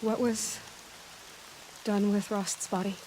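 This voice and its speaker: A woman's voice: medium pitch, little pitch movement, slow, mellow, natural voice, low-quality recording. A young woman voicing a determined outcast hunter in a game cutscene, asking direct, inquisitive questions. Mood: probing. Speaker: game protagonist. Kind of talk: video game cutscene voice acting.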